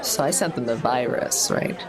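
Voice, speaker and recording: Gravelly guy thinking out loud. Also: medium pitch, normal speed, music in the background, crowd noise. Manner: laughs often, thinks aloud. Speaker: player. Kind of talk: casual tabletop play.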